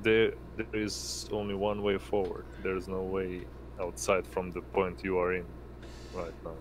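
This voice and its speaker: A man running a tabletop game as game master, narrating in a halting way. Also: sits low, level tone. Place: indoor online voice session. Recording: noisy home recording, constant background hum.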